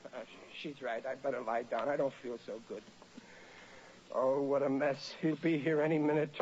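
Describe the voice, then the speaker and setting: An actor playing an excitable, anxious, bumbling working-class husband in a blustering 1940s radio comedy style. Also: male voice, medium pitch, moderate pace, natural voice. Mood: doomed, melodramatic. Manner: blustering, melodramatic, ungrammatical. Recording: muffled, noisy old radio recording.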